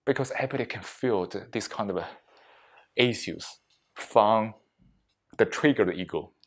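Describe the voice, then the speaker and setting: A man leading a reflective mindfulness talk as a meditation teacher, speaking deliberately in short phrases with frequent pauses and non-native English phrasing. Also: fairly low pitch. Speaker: meditation teacher giving a workplace session.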